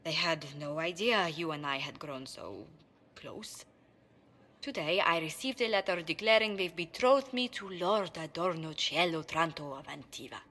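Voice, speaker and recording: A woman's voice: sits low, normal speed, a bit bright. An actress playing a refined, proper young noblewoman diplomat in a video game, with precise, formal speech that can turn flustered. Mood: distressed, flustered. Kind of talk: video game cutscene acting.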